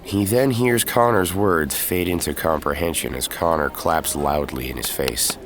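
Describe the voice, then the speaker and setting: A man's voice: a bass voice, unhurried, a crowd in the background. A man giving scripted narration for an audio drama, telling the story in the present tense. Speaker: narrator and lead character. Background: music.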